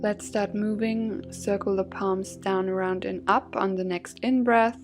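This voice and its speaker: A woman leading a guided yoga and gratitude class as instructor, soothing and measured in her phrasing. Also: mid-range voice, fairly even intonation, faint music behind. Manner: soothing, gently measured phrasing with breath cues.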